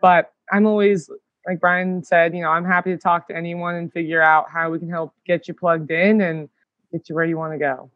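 A woman guest in casual conversation, eager and talking in quick bursts with plenty of fillers. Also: lowish voice, flat delivery, no echo, highs cut off. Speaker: podcast guest. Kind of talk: casual chat.

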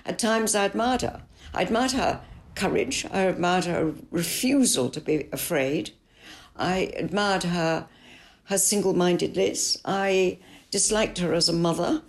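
An older woman author answering interview questions in a measured, thoughtful and frank way. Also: medium pitch, expressive. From Italy. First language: English. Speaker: author and biographer.

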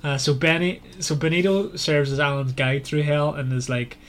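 A man's voice: a little high, fairly even intonation, normal speed, fairly bright tone, a little hiss or hum. A man hosting a podcast, discussing a book in an informal, loosely phrased conversational way. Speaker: podcast host.

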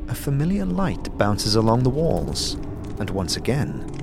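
A man giving scripted second-person fantasy narration in a measured, dramatic storytelling manner. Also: fairly low pitch, very animated. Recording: clear music behind.

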